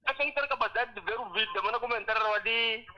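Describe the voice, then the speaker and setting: A man's voice: very high-pitched, racing, dull audio. A male caller giving his opinion on a live phone-in show, cautious and inclined to repeat himself.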